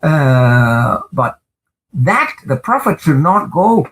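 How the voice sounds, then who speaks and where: An older man whose first language is Spanish, a lawyer answering interview questions in a measured way, full of "uh" pauses and pointed emphasis. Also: fairly high pitch, sing-song, slight background noise. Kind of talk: interview answers, recounting experiences.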